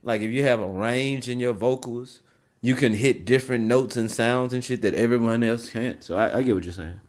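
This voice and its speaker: A man's voice: mid-range voice, normal speed, a little mellow. A man chatting casually with a friend, rambling and slangy, restarting his sentences. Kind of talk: casual chat.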